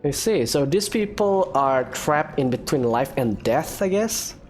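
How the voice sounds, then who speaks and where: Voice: medium pitch, expressive, a little slow, darkish tone, faint music behind. A gaming video host giving casual, chatty commentary while playing. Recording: faint background noise.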